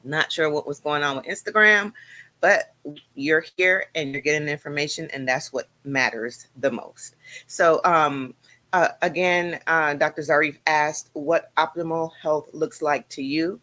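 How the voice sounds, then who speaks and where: Woman cohost reading out stream stuff, bright sharp voice, upbeat. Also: low voice, measured pace. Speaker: co-host. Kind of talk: livestream announcements.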